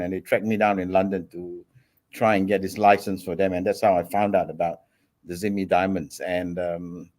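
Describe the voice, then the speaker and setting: A man in his forties from Singapore, a guest on an interview show, telling his story in a relaxed, steady way with many ums. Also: lowish voice. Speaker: guest entrepreneur.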